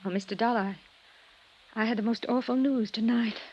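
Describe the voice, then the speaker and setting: An actress playing a distraught, grieving sister who speaks in halting phrases in a 1950s radio drama. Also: female voice, a little high, fairly even intonation, breathy edge. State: grief-stricken. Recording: dull old radio recording.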